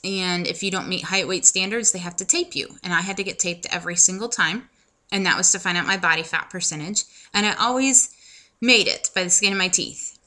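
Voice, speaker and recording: A woman's voice: fairly low pitch, fairly quick, bright, forceful tone. A woman in her thirties chatting casually to camera in a personal vlog, with self-deprecating humor and lots of ums. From United States. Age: 30s. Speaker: vlogger.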